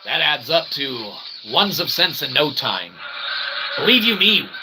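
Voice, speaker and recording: A man's voice: on the high side, sing-song, unhurried, music in the background, low-quality recording. A man hosting a rambling comedic livestream in a mock-pompous manner, using grandiose made-up words and repeated catchphrases. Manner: repeats stock catchphrases and tags like "okay?". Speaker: streamer and channel host.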